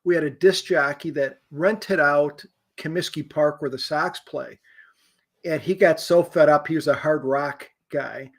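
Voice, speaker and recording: A man's voice: a little high, measured pace. An older man from Chicago co-hosting a casual chat show, rambling with fillers and restarts. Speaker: co-host.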